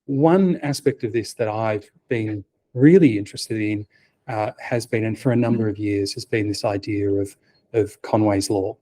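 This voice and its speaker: A soft-spoken middle-aged man presents at a conference in a low, mellow voice. He speaks quietly, stumbles through fillers and restarts, and slips in dry, self-deprecating asides. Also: moderate pace. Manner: hesitant, many fillers and restarts, wry asides. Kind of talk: technical conference talk.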